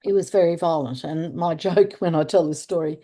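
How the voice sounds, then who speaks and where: A woman's voice: on the low side, fairly even intonation, fairly quick, warm tone. An older Australian woman talking earnestly in conversation, searching for words and stressing key points. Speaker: restorative practice trainer. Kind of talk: conversational interview.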